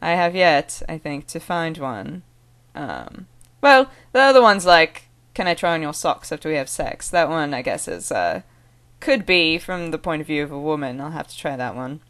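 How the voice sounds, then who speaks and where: A woman from the American Northwest speaking in a put-on British accent, chatting casually as a vlogger in a rambling, halting, amused way. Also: sits low, very animated, fairly bright tone. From American Northwest (Oregon). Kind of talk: casual chat to camera with read-out one-liners.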